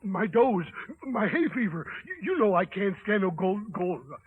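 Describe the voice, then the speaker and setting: An actor playing an excitable dwarf with hay fever, whiny and husky, in a 1930s radio drama. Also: male voice, sits high, normal speed. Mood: panicky. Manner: whiny, panicky, stammering. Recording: tinny, narrow 1930s radio recording.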